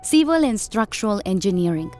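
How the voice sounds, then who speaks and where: A woman's voice: mid-range voice, very animated, a little fast, a little mellow, lightly acted. A woman playing a proud, candid South American engineer and former dancer in an audiobook, with a South American Spanish accent. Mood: proud, resigned. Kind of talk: audiobook character acting. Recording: music behind the voice.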